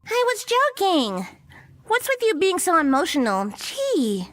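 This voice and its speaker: A woman's voice: very high voice, wildly swooping pitch, measured pace, fairly bright tone, soft background music, exaggerated voice. A woman voicing a bossy, prim, teasing young witch girl in a visual novel game.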